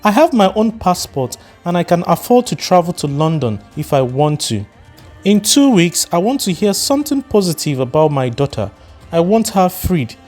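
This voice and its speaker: A man voicing an indignant middle-aged mother who confronts a stranger with sharp demands. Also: high-pitched, big pitch swings, normal speed, faint music behind, voice pushed up. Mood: firm. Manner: confrontational, firing questions.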